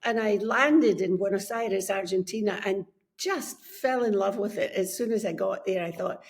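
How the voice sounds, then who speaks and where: An older woman with a Scottish accent, a book coach and podcast guest, telling her story in a measured, friendly way on a remote call. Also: mid-range voice. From Scotland. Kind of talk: casual interview chat. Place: home, on a remote call from abroad.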